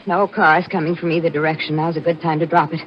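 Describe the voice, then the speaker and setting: An actress playing a hard, impatient murder accomplice with a terse, pushy manner, in 1940s radio thriller acting. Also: female voice, low voice, flat delivery, fast, close to an everyday voice. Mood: tense, commanding. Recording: muffled vintage radio recording, faint music.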